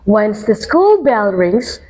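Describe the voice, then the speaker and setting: A woman's voice: medium pitch, very animated, slow, darkish tone, faint music behind, low-quality recording. A woman co-hosting an education podcast, with a friendly, encouraging way of asking questions. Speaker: podcast co-host.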